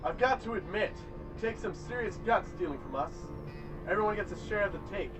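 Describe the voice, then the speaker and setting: Casting: male actor for menacing gang boss type, high male voice, deliberate and taunting, distant recording. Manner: calm, taunting, deliberate threats. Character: menacing, coolly cruel male gang enforcer. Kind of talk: dramatic film acting. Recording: distant mic under loud music.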